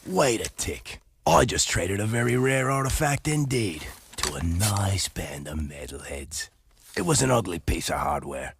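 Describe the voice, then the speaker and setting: Shady desert trader, Australian accent, gravelly deep voice, lively delivery, roguish, video game. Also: male voice, slight background noise, full character voice. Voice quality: gruff, raspy. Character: rough, shady wasteland trader and racer, roguish. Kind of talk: video game cutscene acting.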